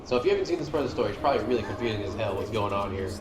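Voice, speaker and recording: A man's voice: on the low side, animated, racing. A man giving casual, informal commentary over game cutscenes, rambling as he goes with some mild swearing. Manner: informal, rambling, mildly profane. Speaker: gaming video host. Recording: roomy echo, game music behind.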